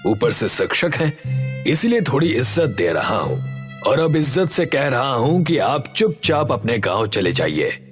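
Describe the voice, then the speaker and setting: A stubborn village headman with a merchant's greed, played by a man. He talks down to his listener in a mid-range voice with expressive swings. Soft music sits behind this animated-story villain. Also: medium pitch, measured pace. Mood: condescending, threatening. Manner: dismissive, condescending. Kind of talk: Hindi animated story voice acting.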